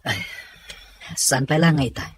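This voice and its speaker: Man doing stingy reluctant boyfriend, super raspy husky voice, comedy drama. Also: on the high side, fairly even intonation, a little slow. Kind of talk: Ilocano comedy drama voice acting.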